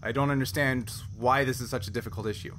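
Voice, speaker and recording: A man acts out an exasperated male scientist in a tabletop roleplay, formal and insistent. The voice is bright and forward at a middling pitch, over noticeable background noise. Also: fairly even intonation, fast talker, lightly acted. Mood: impatient, defensive. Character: testy, defensive male chief scientist, impatient but polite.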